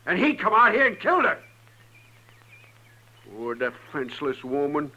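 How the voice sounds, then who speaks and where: An actor playing a bitter, domineering American frontier father in a 1950s radio western, gruff and blunt. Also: a little high, big pitch swings, unhurried, sharp tone, exaggerated voice. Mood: angry, vengeful. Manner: gruff, blunt, folksy drawled grammar. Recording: dull old radio recording.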